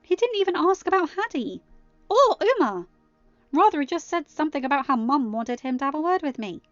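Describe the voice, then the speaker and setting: A woman plays a fiery young woman in a storybook reading, her pitch lifted above everyday talk. She is firm, sarcastic and emphatic, proud and wounded. The sound is slightly dull and close, with music underneath. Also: sing-song, brisk, no echo. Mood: scornful.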